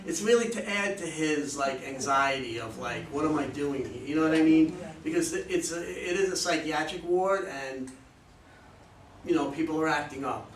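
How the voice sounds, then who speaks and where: A man's voice: fairly high pitch, moderate pace. A man, a playwright, talking informally and hesitantly in a question-and-answer session, playing himself down. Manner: hesitant, self-deprecating. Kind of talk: informal question-and-answer after a play reading. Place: room at a live play reading. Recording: roomy distant mic, faint noise.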